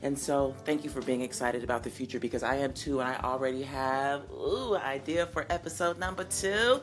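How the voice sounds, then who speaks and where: Husky-voiced woman in her forties. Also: faint music behind. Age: 40s. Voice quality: low, husky morning voice. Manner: earnest, unhurried and affirming. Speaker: singer-songwriter hosting a call-in podcast. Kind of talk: reflective podcast talk answering listener messages.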